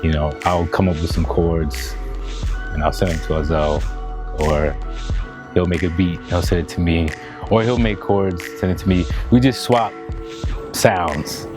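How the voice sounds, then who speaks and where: A man's voice: sits low, fairly even intonation, measured pace, long pauses, over music. An American man, a musician, giving casual, laid-back interview answers in conversational language with some slang. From Washington, D.C. area, United States. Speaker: musician and producer in a band.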